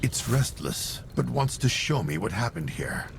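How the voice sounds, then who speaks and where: Slow, deep-voiced brooding sorcerer. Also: male voice, sing-song, creaky, background noise, heavily acted. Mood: solemn, brooding. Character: brooding male sage sorcerer hunting a time wrecker. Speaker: narrating protagonist. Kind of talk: scripted game monologue.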